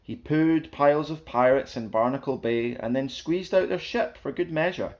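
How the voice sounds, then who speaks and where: Male storyteller for a children's picture book: animated rhyming read-aloud, playful, theatrical, mid-pitched. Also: moderate pace. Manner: playful, theatrical, sing-song rhythm.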